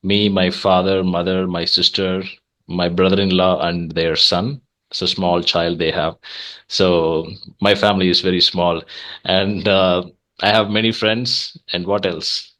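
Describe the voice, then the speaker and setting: A man's voice: low-pitched, flat delivery, a little slow, sharp tone. A young man from Tamil Nadu with a Tamil-tinged Indian English accent, earnestly explaining his views in a casual conversation-practice chat with many "uh" fillers. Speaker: host of English practice channel.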